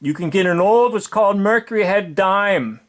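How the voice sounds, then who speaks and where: An older man with an American accent giving informal, opinionated commentary in a sardonic, deliberate way, full of rhetorical questions. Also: sits high.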